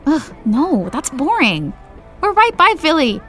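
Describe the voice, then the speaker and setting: A woman's voice: high-pitched, very animated, a little slow, fairly bright tone, soft background music, exaggerated voice. An actress playing a bubbly, shallow young woman who talks in valley-girl chatter. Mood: chatty.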